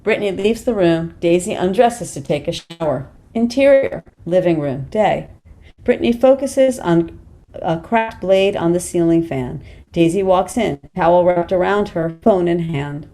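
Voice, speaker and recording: A woman's voice: fairly low pitch, expressive, measured pace, warm tone. A woman reading script directions in a plain, matter-of-fact way at a table read. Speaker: stage directions reader.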